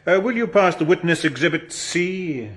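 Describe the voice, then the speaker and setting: An actor playing a formal prosecuting barrister, measured and slightly hesitant, in a British radio drama. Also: male voice, on the high side, lively intonation, natural voice.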